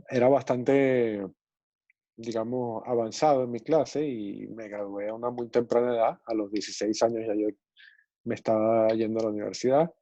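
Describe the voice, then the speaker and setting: A man in his forties from Venezuela with a Venezuelan accent, telling his story as a guest on a video call in a relaxed way with many pauses and fillers. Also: mid-range voice, no room sound. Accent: Venezuelan Spanish. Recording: muffled video call audio.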